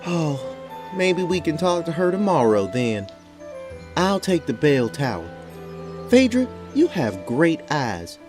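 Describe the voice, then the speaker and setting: Man putting on an earnest, higher-pitched adventuring woman. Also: very animated, takes it slowly, over music. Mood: earnest, curious. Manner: polite, earnest, inquiring. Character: polite, curious adventuring woman, earnest party leader. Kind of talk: fantasy audio drama acting.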